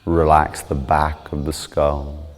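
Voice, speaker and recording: A man calmly guiding a meditation, soothing and measured, with long pauses between short instructions. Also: a bass voice, in a large hall. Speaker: yoga and meditation teacher.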